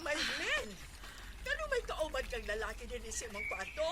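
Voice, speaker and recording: Shrill-pitched scolding Bisaya mother, noisy audio. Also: huge pitch swings, normal speed. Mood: shocked, scolding. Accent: Filipino, Cebuano Bisaya. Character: alarmed, scolding, insistent middle-aged Filipino mother. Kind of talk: Bisaya radio drama acting. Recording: very noisy audio with ambient sound.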